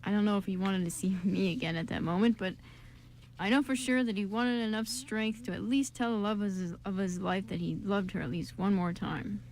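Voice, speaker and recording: A woman's voice: mid-range voice, brisk, darkish tone, slight background noise, lightly acted, rough recording. A woman voicing an earnest, loyal, shy young man in an amateur radio drama.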